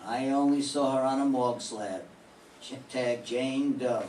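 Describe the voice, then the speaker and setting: A man's voice: medium pitch, fairly even intonation, unhurried, darkish tone, lightly acted. Older man playing a hard-boiled male private eye, clipped, dry, 1940s radio play, echoey room. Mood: terse. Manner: clipped, dry tough-guy delivery. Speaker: amateur radio drama student. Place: classroom. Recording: roomy classroom from a distant mic, faint hiss.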